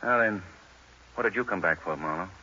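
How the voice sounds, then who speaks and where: An actor playing a cool, menacing casino racketeer in a 1940s radio crime drama, clipped and wry. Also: male voice, low voice, a little fast, sharp tone, husky, heavily acted. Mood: cool, controlled. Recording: muffled vintage radio with faint hiss.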